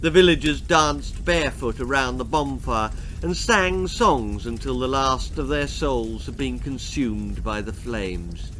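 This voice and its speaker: A man reading a children's horror-comedy story as its author, in a theatrical, relishing, deliberate manner. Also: mid-range voice, a bit bright, a little hiss or hum, poor audio. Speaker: author reading own stories aloud.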